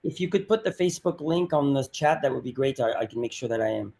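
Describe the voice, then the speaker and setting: A man's voice: mid-range voice, animated, fast, vocal fry, darkish tone. A man on a virtual meeting call offers community help, restarting his sentences as he talks. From France. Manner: stumbling restarts. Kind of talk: casual chat on a video call. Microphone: video call.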